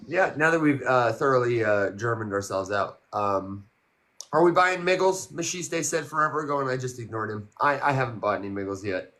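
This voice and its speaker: A man co-hosting a casual chat, relaxed and a little hesitant. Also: medium pitch, fairly quick. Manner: relaxed, hesitant, uses fillers. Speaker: co-host.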